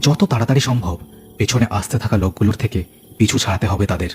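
A man's voice: fairly low pitch, quick pace. A man telling a cartoon ghost story as narrator, with dramatic scripted delivery.

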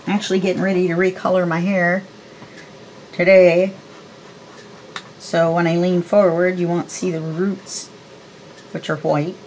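A woman's voice: on the low side, flat delivery, measured pace, pauses often, darkish tone, slight background noise, low-quality recording. A woman of about sixty chatting casually through a craft demonstration, thinking aloud in a folksy, self-deprecating way. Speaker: crafter presenting a tutorial. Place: indoors at a craft desk.

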